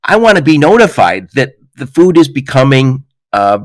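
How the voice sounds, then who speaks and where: A man hosting a podcast interview, telling stories in a lively way and laughing often. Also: medium pitch, normal speed, no echo. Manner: animated storyteller, laughs often. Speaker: podcast host. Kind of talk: casual interview chat.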